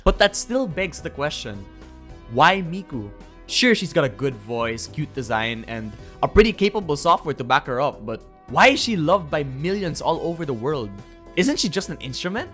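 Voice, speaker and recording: A young adult narrates a scripted but casual video essay with music underneath. The delivery is animated and informal, peppered with swearing, at a middling pitch. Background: background music.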